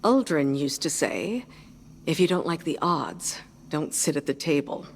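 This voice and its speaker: An actress playing a weary, guilt-ridden female advisor who briefs in a measured, earnest way. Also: sits low, animated, a little hiss or hum. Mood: regretful.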